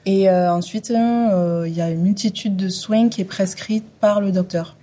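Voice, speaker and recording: A woman's voice: medium pitch, steady tone, moderate pace, dark tone. A woman, a hydrotherapist guest answering radio interview questions hesitantly, with many fillers. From France, Occitanie. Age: 20s. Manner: hesitant, many fillers, finishes others' sentences.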